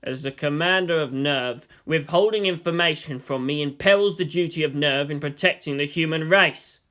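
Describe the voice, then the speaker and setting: A man's voice: a little high, fairly bright tone, natural voice. Man voicing a cold, menacing middle-aged commander. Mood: accusing. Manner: contemptuous, deliberate, threatening.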